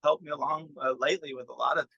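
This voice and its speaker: A man's voice: on the high side, steady tone, fast, gravelly, bright, forceful tone, husky, no room sound, highs cut off. A middle-aged man, a musician, chatting casually and informally in an interview in a self-effacing way. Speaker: musician and record producer being interviewed.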